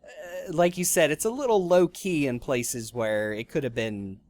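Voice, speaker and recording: A man's voice: fairly high pitch, a little slow, darkish tone, dry recording. Man, podcast co-host, casual film chat, rambling, lots of ums and restarts.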